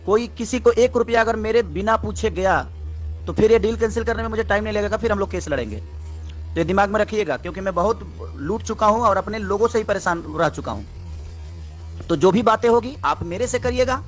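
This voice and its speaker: A man's voice: high voice, very animated, fairly quick, soft background music. A man talking business in a firm, insistent way, repeating his points with emphasis. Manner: repetitive, emphatic. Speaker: singer on a recorded business call.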